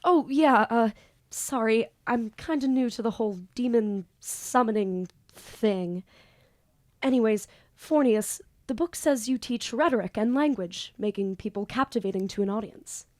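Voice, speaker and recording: A woman's voice: on the high side, a little slow, darkish tone, close to an everyday voice. Echoey, awkward young student, acted by a woman. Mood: awkward, pleading. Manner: casual, hesitant, self-deprecating. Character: awkward, eager young female student dabbling in demon summoning. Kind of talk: amateur audio drama acting with first-person narration. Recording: echoey room.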